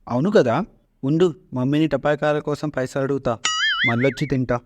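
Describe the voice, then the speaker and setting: A man's voice: mid-range voice, steady tone, a little fast, dry recording, natural voice. A man voicing a childish, forgetful young warrior prince who wheedles cheerfully in a parody cartoon. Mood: curious, eager. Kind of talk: Telugu parody cartoon dubbing.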